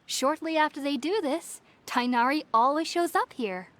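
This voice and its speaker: An actress playing a gentle, scholarly young woman researcher in a fantasy video game, speaking politely and thoughtfully. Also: high-pitched, moderate pace. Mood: friendly, musing. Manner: polite, soft-spoken, thoughtful. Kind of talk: video game character dialogue.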